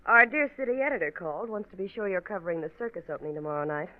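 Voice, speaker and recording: An actress playing a wry, jealous newsroom colleague in a 1940s radio drama, teasing and catty with a sarcastic edge. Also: female voice, lowish voice, expressive, very fast, no room sound, lightly acted. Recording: narrow-band vintage radio recording.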